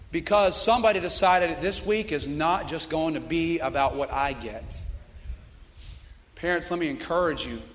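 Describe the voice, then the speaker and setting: Hoarse, high-voiced preacher man. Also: big-room sound. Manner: swings between loud fired-up calls and low earnest appeals. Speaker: youth pastor. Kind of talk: sermon.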